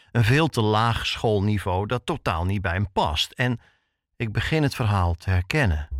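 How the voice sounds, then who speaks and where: A man's voice: fairly low pitch, no echo, studio quality. A man in his fifties narrating a personal podcast from a script, as its host and reporter. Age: 50s.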